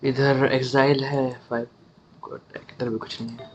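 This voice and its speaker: A man's voice: medium pitch, level tone, very fast, lo-fi sound, a little hiss or hum. A man with an Indian accent giving casual gameplay commentary as a gaming host.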